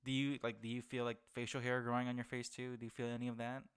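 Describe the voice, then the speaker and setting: A man's voice: mid-range voice, little pitch movement, fast, smooth. A man hosting a casual interview podcast, asking questions hesitantly and often searching for the right words. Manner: hesitant, many fillers and restarts, searching for words. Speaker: podcast host.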